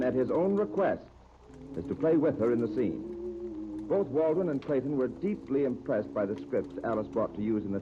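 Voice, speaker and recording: A man narrating a 1930s radio serial as its announcer, with a formal, dramatic scripted delivery. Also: mid-range voice. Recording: noisy, dull 1939 radio disc recording.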